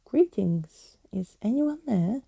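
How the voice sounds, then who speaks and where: A woman's voice: fairly high pitch, expressive, slow, vocal fry, mellow, breathy edge, no echo, heavily acted. A woman voicing a jaunty, wordy male skeleton adventurer in a whispered storybook reading. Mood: wistful, curious. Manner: theatrical, wordy, whimsical phrasing.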